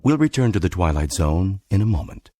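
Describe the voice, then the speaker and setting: Low-voiced male radio announcer. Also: very animated, brisk, warm tone, soft background music. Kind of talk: scripted radio credits announcement.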